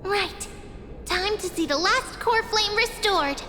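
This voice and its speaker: An actress playing a gentle, loyal, girlish companion in a fantasy video game, tender and earnest. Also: very high voice, slow, exaggerated voice. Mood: devoted. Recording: long reverb with music behind.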